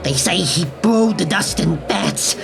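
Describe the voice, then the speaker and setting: A woman's voice: fairly low pitch, animated, measured pace, creaky, over music. A woman playing a furtive, nervous jazz club informant who speaks hesitantly in a noir radio play. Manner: hesitant, stammering, warning.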